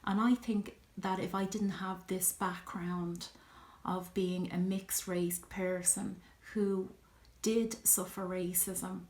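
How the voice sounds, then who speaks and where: A middle-aged woman with an Irish accent, talking reflectively in a personal vlog, pausing often with ums. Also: mid-range voice, fairly even intonation, darkish tone, echoey room. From Ireland. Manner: reflective, earnest, many ums and you-knows. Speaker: vlogger.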